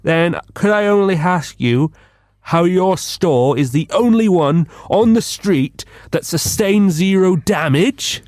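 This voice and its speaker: An actor playing a bumbling, self-important London detective with a pompous, eager manner in a comedic radio drama. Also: male voice, high voice, lively intonation, takes it slowly, a little mellow, dry recording, clean studio sound. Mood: inquisitive.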